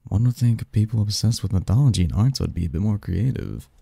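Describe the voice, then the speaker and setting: A man's voice: on the low side, lively intonation, gravelly, slightly rough, no echo, heavily acted. A man acting a snarky, cynical young research assistant in a scripted ASMR roleplay, with a wry, pedantic manner. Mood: absorbed, muttering. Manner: wry, pedantic, halting mumbles and soft chuckles.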